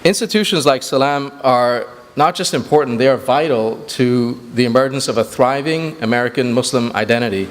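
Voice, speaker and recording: Man, grew up in Sri Lanka, earnest speech at a community banquet, mid-pitched, long hall reverb. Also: normal speed, few pauses. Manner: earnest, with frequent fillers and restarts. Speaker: executive director.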